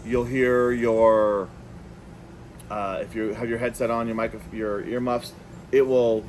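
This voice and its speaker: Male product reviewer, mid-pitched, informal demo delivery with stop-and-start phrasing, light room noise behind. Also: little pitch movement, unhurried, a little mellow. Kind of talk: casual hands-on product demonstration.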